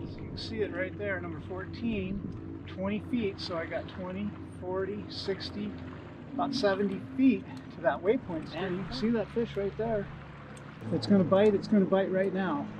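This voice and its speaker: A middle-aged man with a fairly high voice talks casually to a boat-mounted camera while fishing from a kayak. He keeps it low-key and matter-of-fact, half talking to himself. Wind and water noise sit clearly under his voice outdoors on the lake. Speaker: kayak angler. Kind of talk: casual self-filmed commentary. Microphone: action camera mounted on the boat.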